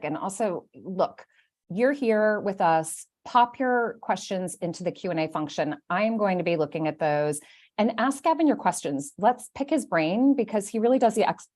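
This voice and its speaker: A woman hosting a live online Q&A, talking in an animated, rambling, self-deprecating way. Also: fairly low pitch. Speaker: host.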